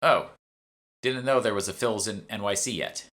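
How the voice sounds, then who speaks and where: A man's voice: lowish voice, normal speed, a bit bright, close to an everyday voice. A man voicing an exasperated, questioning fantasy protagonist in an audiobook. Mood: puzzled. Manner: incredulous, complaining. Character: exasperated, questioning sidekick narrator of urban fantasy.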